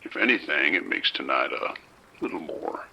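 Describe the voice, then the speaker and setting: A man playing a calm, soft-spoken abductor in an audio drama, with a gravelly, whispery voice and a slow, coaxing manner. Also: very deep voice, a little hiss or hum, heavily acted. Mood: coaxing menace.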